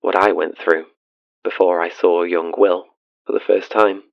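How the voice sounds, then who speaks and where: A dull, dry recording of a haunted, tender father in an audio drama. His voice is low and gravelly, and he tells his ghost story in a hushed, wistful confession. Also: level tone, a little slow, no echo. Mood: wistful, reflective. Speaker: caller to a radio show.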